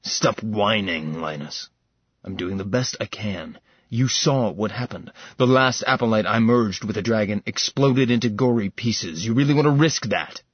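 Ancient god of dark magic, low and menacing, voiced by a man, contemptuous, husky. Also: moderate pace, dry recording, lo-fi sound.

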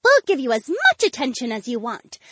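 A male narrator plays an excitable young cat woman from an audiobook. The voice is extremely high and swoops wildly, with girlish gushing and a playful temper. It sounds close and dry. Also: normal speed, slightly rough, dry recording, full character voice. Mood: earnest, chattering. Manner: gushing, girlish, squealing exclamations. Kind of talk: audiobook character dialogue.

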